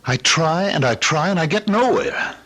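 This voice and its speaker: An actor playing a frustrated, luckless young husband and store clerk in an old-time radio drama, theatrical and emphatic. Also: male voice, on the high side, lively intonation, normal speed, fairly bright tone. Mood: bitter, exasperated. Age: young adult. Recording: vintage radio drama recording.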